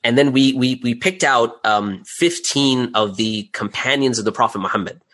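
A man's voice: mid-range voice, normal speed, fairly bright tone. A middle-aged man speaking casually as an interview guest, candid and self-deprecating about his own work as a creator. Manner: candid, self-deprecating, chuckles while talking.

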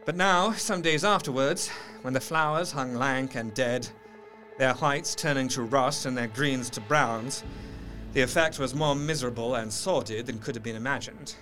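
A man's voice: medium pitch, moderate pace, soft background music, natural voice. A man playing a proper, troubled Victorian doctor, narrating his own horror story formally and with feeling in an audio drama. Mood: uneasy recounting. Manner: formal, expressive. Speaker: narrator in character.

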